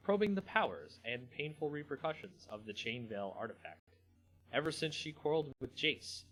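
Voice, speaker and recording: A man hosting a live stream in a casual, slangy, rambling way, with halting pauses. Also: mid-range voice, fairly bright tone, poor audio. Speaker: host of a card game channel. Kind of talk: casual live stream chat, then dramatic story reading.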